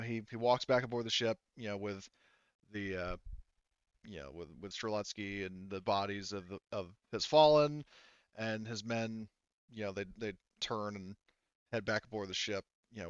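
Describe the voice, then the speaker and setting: Smooth low-voiced man running a tabletop game. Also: dry recording, lo-fi sound. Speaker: game master. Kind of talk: improvised tabletop game narration.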